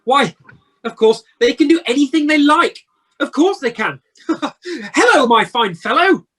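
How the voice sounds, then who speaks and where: An actor playing an emotional, repentant old male miser in an amateur radio play, with theatrical, halting exclamations. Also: sits high, animated, a bit bright. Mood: excited, delighted. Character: repentant old male miser, desperate then giddily joyful. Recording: home video-call audio.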